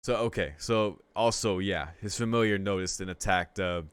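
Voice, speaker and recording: A young man with a lowish voice reads a visual novel aloud and breaks off into casual, slangy reactions. The sound is dry and close, with no room echo, and his talk is loose and excitable. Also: moderate pace, smooth. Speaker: streamer reading a visual novel.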